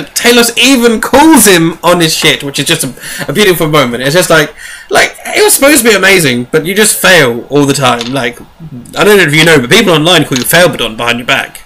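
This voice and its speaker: A man's voice: on the high side, pushed and bright. A man co-hosting a casual fan discussion of sci-fi novels, enthusiastic and rambling, often restarting his sentences. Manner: casual swearing. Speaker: podcast co-host.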